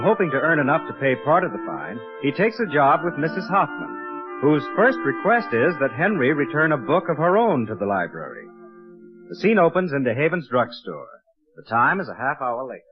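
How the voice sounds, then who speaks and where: A man's voice: mid-range voice, big pitch swings, moderate pace. A man narrating a scene as the scripted announcer of an old-time radio show. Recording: lo-fi vintage radio with music behind.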